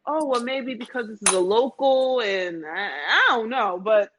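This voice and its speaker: A woman's voice: on the high side, lively intonation, unhurried, background noise. A woman in a casual, conversational travel chat with a friend, often repeating phrases for emphasis. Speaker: podcast speaker. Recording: dull lo-fi sound.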